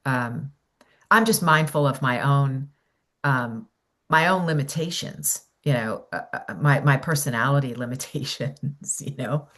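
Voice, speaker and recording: A woman's voice: very deep voice, a little slow, fairly bright tone. A middle-aged woman, a voiceover coach, giving rambling casual advice on a video call, full of restarts and "you know".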